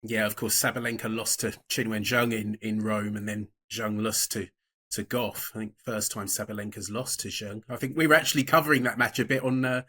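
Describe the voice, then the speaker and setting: A man hosting a tennis betting podcast, reading a brisk intro and then chatting in a relaxed, self-deprecating way. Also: on the low side. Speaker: podcast host.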